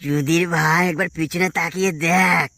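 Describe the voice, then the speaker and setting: Man doing a creaky, menacing cartoon village tough. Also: fairly high pitch, fairly even intonation, very noisy. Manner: threatening, drawn-out insults. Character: menacing big-bodied village tough, vengeful brother-in-law.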